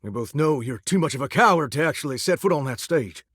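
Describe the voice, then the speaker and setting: An actor playing a vain, folksy singing shower in a video game, with a theatrical Southern drawl and country sayings. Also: male voice, mid-range voice, lively intonation, fast talker, fairly bright tone, breathy edge, no room sound, clean studio sound. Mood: cocky, testy. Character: flamboyant, folksy singing shower, vain would-be showbiz star. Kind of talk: animated video game character acting with bursts of song.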